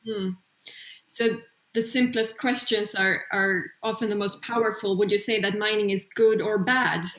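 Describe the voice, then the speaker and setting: A woman moderates a panel in a brisk, encouraging way. Her voice sits in a middle range. The recording is roomy and echoey. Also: medium pitch, steady tone, fairly bright tone. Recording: roomy echo.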